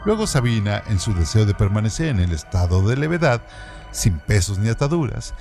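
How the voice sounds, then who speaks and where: A man with a deep, gravelly voice reads a scripted literature feature in a measured, steady way. A clear music bed runs under his voice. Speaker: radio presenter. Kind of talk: scripted literary trivia narration. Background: background music.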